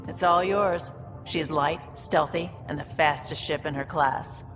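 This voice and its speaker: A low female voice with music behind it: a confident alien gunner and fixer in a video game. She is brisk, streetwise and matter-of-fact. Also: fairly even intonation. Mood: proud, businesslike. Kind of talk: video game cutscene acting.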